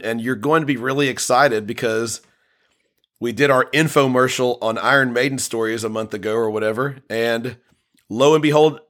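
A man's voice: medium pitch, fairly bright tone. Chatty male host, rambling casual delivery, mid-range voice. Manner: rambling, chatty, restarts a lot. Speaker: podcast host. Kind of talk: casual chat.

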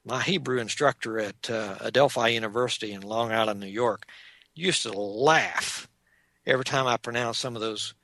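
A man with a Texan drawl teaches church history in an unhurried lecture, pausing often on "uh" as he explains. His voice is bright and forward, recorded close and dry with no room sound. Mid-pitched and steady for a man. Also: dry recording. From Texas. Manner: measured and explanatory, with frequent "uh" pauses. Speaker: Bible teacher.

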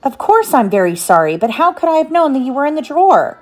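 A woman's voice: high voice, sing-song, fast talker, a little mellow, voice pushed up. A woman voicing an eager, cocky young boy adventurer, blunt and boastful, in a children's storybook read aloud. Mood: gleeful, scolding.

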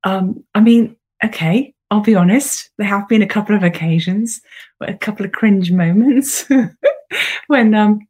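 A woman's voice: mid-range voice, measured pace, a little mellow, slightly rough. A woman tour guide, chatting in a friendly way with hesitant restarts over a video call. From Britain. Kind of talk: casual guest chat on a video call.

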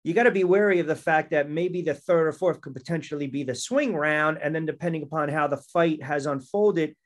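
A man's voice: a little high, fairly quick. A man hosting a sports podcast, conversational, asking long leading questions. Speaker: podcast host. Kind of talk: sports talk interview.

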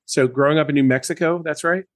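A man hosting a podcast, reading a scripted intro and asking questions haltingly with fillers. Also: a little high, fairly even intonation, no room sound, studio quality. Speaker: podcast host.

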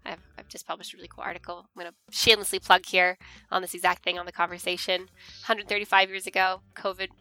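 A woman's voice: lowish voice, little pitch movement, fast talker, bright, forceful tone, no echo, faint music behind. A young Canadian woman with a Canadian accent, chatting casually and earnestly about her academic research. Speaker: history researcher, co-host.

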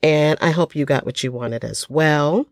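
A woman's voice: low voice, a little slow, no room sound, clean studio sound. An older woman hosting her own podcast in a casual, folksy chat, a little stuffy from a cold, with plenty of ums. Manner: chatty, folksy, lots of ums. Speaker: podcast host.

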